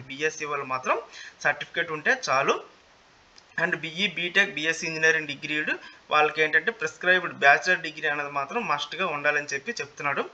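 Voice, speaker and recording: A man's voice: on the high side, bright, forceful tone. A man with a Telugu Indian accent giving an informal explainer, repeating key details and mixing in English terms. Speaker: presenter of job notification videos. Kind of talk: informal explainer of a job notice. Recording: muffled lo-fi audio with faint noise.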